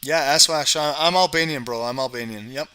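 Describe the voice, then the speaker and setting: A man's voice: fairly high pitch, fairly quick, sharp tone, clear, resonant tone. A man from Canada hosting a live trading stream, chatting casually, talking to his viewers in the chat and tagging lines with "okay" and "guys.". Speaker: trading livestream host.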